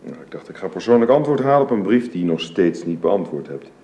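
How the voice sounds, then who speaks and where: A man's voice: low-pitched, lively intonation, quick pace, mellow. An actor playing a courteous, reserved father in love, speaking formally and earnestly in a radio drama. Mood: friendly, courteous. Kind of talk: Dutch radio drama acting. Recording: clearly noisy radio play recording.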